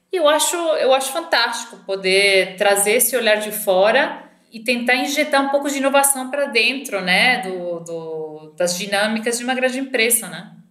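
A woman's voice: medium pitch, fairly bright tone. A middle-aged woman from Argentina with a light Argentine Spanish accent, answering interview questions thoughtfully with frequent hesitations and restarts. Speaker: marketing director guest. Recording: roomy echo.

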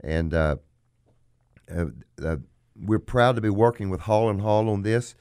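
Hoarse man, low pitched, dry close mic, casual radio talk, plugs his business. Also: a little slow, darkish tone, no echo. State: hoarse, frog in throat. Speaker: auctioneer and radio show host.

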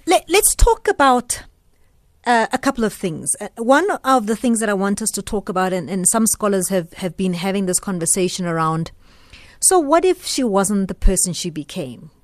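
A South African woman speaks as a radio interview host. Her voice is medium in pitch, with measured, deliberate phrasing. The sound is close and dry, as in a studio. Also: animated, dry recording.

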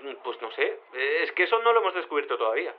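Phone-in detective: thin telephone sound, bumbling, rambling, highish male voice, very lively intonation. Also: quick pace. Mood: sheepish, cheerful. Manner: hesitant, rambling. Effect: telephone filter. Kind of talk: audio drama phone call. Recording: thin, narrow phone line.

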